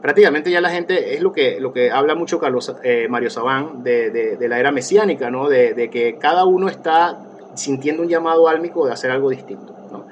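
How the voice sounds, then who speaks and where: A man's voice: mid-range voice, brisk, highs cut off, slight background noise. A man, a therapist talking as an interview guest, reflective and rambling with many restarts and fillers. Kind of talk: casual conversational interview.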